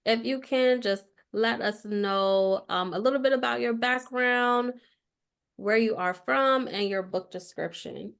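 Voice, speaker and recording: A woman's voice: on the high side, a little slow, gravelly, fairly bright tone, clean tone. A woman casually hosting a virtual panel of guests as its moderator. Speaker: host of author meet and greet.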